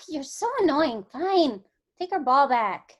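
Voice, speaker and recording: A high-pitched, bossy alien examiner, acted by a woman, with dry, blunt delivery and open scorn for humans. It sounds like a part in a comedy script table read. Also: lively intonation, slow pace. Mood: annoyed.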